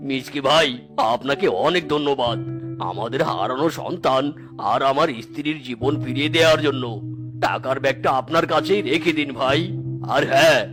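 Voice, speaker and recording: A man's voice: mid-range voice, normal speed, music in the background, lightly acted. A man voicing a distressed cartoon husband and father who pleads earnestly. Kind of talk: cartoon voice acting.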